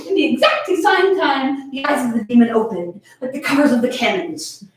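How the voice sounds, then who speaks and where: Very high, lively woman reading, echoey and distant. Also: moderate pace. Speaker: fan fiction reader. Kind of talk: dramatic comic reading aloud. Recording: echoey, distant, faint background noise.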